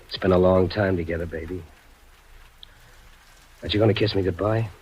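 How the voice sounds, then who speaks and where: An actor plays a desperate husband on the run in a vintage radio thriller. His deep voice is terse and fatalistic. The old recording is dull, with faint noise. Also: steady tone, brisk, lightly acted. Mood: bitter, resigned. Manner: terse, slangy, bitterly fatalistic. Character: desperate, guilty-looking husband on the run, hard-boiled. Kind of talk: old-time radio crime drama acting.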